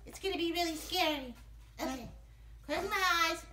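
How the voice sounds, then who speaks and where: A man voicing an excitable, childlike little ghost puppet in a children's puppet show, whiny, exclaiming and repeating himself. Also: very high-pitched, normal speed, reverberant, noisy background. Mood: determined.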